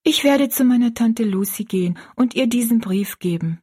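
A woman voicing a timid, earnest little orphan girl in an audiobook story, with a soft, breathy voice. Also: fairly high pitch, animated, moderate pace, pitched above the performer's own voice. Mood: determined. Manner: fearful, earnest.

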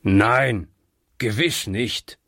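A man's voice: fairly low pitch, very slow, vocal fry, fairly bright tone, no echo, studio quality, heavily acted. A man reading aloud, voicing a bitter, one-legged boy thief who grumbles sullenly.

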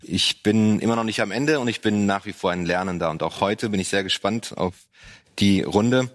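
A man with a low voice speaks as a guest on a faith panel. He is reflective and halting, filling gaps with hesitations. He sounds like a pastor thinking aloud. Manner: reflective, halting, with many fillers. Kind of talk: panel discussion on parenting and faith.

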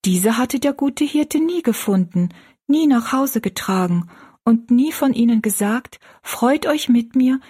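A woman voicing an earnest, pious young girl in a storybook, gently and politely explaining things. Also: a little high, lively intonation, unhurried, slightly rough, voice pushed up.

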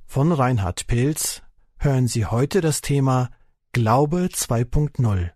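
A man introducing a radio program in a measured, scripted way. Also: medium pitch, lively intonation, dry recording, clean studio sound. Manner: slow, measured delivery. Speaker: radio announcer. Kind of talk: scripted announcement. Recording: announcement over loud keyboard music.

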